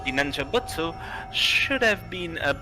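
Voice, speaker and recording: A man reading visual novel dialogue and narration aloud on a livestream, halting and stumbling over some words. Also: a little high, expressive, over music, low-quality recording.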